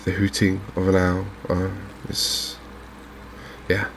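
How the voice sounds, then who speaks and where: A man with an English accent giving gentle, unhurried, softly spoken ASMR commentary on a video game. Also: low-pitched, monotone, gravelly, a little hiss or hum. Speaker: gaming video host. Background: fishing game ambience.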